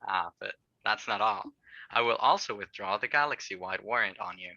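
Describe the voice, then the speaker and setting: Man playing a gravelly, sharp-edged smug space villain. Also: fairly low pitch, no room sound, dull audio, exaggerated voice. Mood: smug, menacing. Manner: smug, mock-courteous, deliberate. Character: polite, menacing male tycoon villain in space. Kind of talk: audio drama table read.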